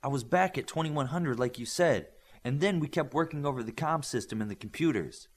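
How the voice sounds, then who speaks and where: A man's voice: mid-range voice, brisk, voice pushed up, lightly acted. A man voicing an eager young female superhero scientist in an audiobook, earnest and explanatory. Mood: eager, defensive.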